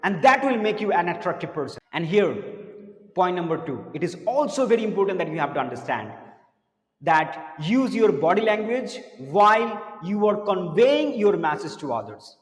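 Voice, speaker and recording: A man who teaches spoken English, giving an earnest motivational lesson to camera, repeating words and dropping his voice low for serious points. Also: sing-song, normal speed. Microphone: camera mic, speaking to camera. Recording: echoey room with long reverb.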